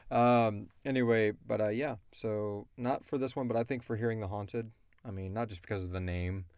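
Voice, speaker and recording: A man hosting a question-and-answer show in an informal, emphatic and animated way. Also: fairly low pitch, normal speed, smooth. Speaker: host and creator of an audio drama podcast. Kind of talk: casual chat answering listener questions.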